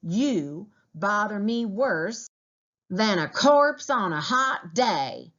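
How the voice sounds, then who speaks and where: A menacing frontier man leading a wagon trail, as acted out by a woman reading a storybook. The voice is raised well up in pitch, with angry, emphatic threats. The recording is a little dull. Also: sing-song, drawn-out words, fairly bright tone, full character voice. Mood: scornful. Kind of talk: children's historical novel read aloud.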